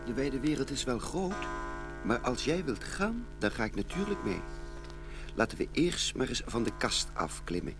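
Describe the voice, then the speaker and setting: A woman voicing a brave, gentle young porcelain chimney sweep in an audio fairy tale, tender and reassuring. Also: a bass voice, big pitch swings, normal speed, over music, heavily acted.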